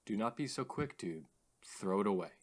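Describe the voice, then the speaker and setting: An actor playing an earnest, philosophical radio host in an audio drama, formal and slightly theatrical. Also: male voice, lowish voice, animated, normal speed. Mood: grave.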